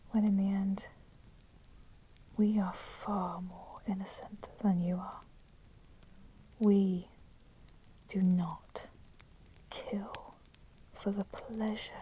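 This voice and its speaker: Woman doing a vampire lady asmr, real slow breathy whisper, formal and coldly possessive, faint fire crackle noise behind. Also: medium pitch, lightly acted. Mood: wry, contemptuous. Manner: slow, deliberate, formal phrasing. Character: female vampire captor, coolly menacing, wronged, possessive. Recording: steady background noise, crackling fire sound.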